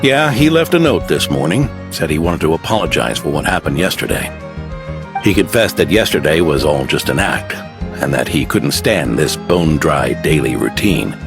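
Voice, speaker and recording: A deep-voiced old retired knight. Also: male voice, expressive, moderate pace, music in the background. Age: older. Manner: warm, old-fashioned phrasing. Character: kindly old retired knight, gentle and courteous.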